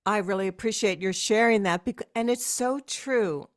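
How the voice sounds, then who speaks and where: A woman hosting an interview, speaking at an ordinary middle pitch. She responds reflectively and affirms what her guest has said. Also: normal speed. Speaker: podcast host. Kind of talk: conversational interview.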